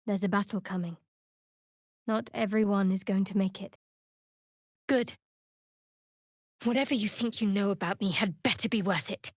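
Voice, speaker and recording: A woman voicing a guarded, wry young female cleric of a dark goddess in a fantasy video game, speaking in a measured, dry, sardonic way. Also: medium pitch, no echo, close to an everyday voice. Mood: grave.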